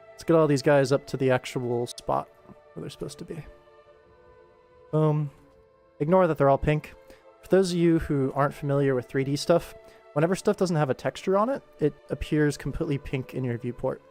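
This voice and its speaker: A man's voice: medium pitch, moderate pace, pauses often, a little mellow, soft background music. A young man rambling casually as he hosts a live work stream and talks through his animation work. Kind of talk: casual rambling livestream commentary while working.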